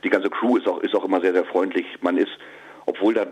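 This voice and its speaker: Man, very deep voice, hesitant, fillers, comedian guest, radio recording. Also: fairly even intonation, fast talker, a little mellow, low-quality recording. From northern Germany. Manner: hesitant, many fillers and restarts. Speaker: comedian and musician. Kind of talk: casual interview answers. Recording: radio broadcast.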